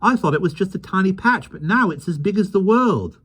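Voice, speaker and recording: A man reading a storybook aloud, voicing an excited small boy who exclaims breathlessly. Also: high voice, a little fast, breathy edge, voice pushed up, heavily acted.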